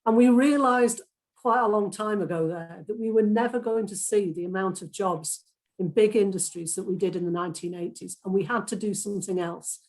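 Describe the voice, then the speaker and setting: A woman with a Lancashire accent speaks as a candidate at a hustings. Her pitch sits in an ordinary middle range. She talks in a brisk, persuasive way. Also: a little mellow, rough recording. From Lancashire, England. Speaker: election candidate. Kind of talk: hustings speech.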